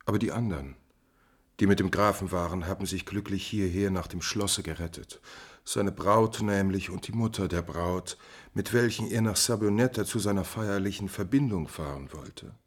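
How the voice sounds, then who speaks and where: Guarded servile courtier, very low pitch, husky tone, formal and evasive, vintage radio play. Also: male voice, moderate pace. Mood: guarded politeness. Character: smooth, guarded male courtier, evasive and servile to his prince. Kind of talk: 1950s German radio drama acting.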